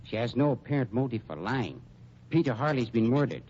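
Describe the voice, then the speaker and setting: An actor playing a scheming funeral director in an old radio mystery, silky, formal and theatrically hurt, with hesitant pauses. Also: male voice, mid-range voice, animated, darkish tone, breathy edge. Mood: coolly pressing. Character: unctuous, scheming male funeral director, mock-wounded and polite. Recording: dull, noisy old radio recording.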